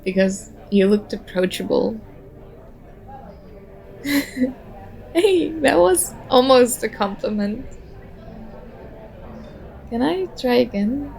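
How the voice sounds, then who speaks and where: A woman's voice: on the high side, animated, slow pace, vocal fry, long pauses, a little mellow, heavily acted, low-quality recording. A woman playing a very shy, nervous young stranger in an ASMR roleplay, talking hesitantly and self-consciously as she overthinks. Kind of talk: ASMR roleplay, soft conversational acting.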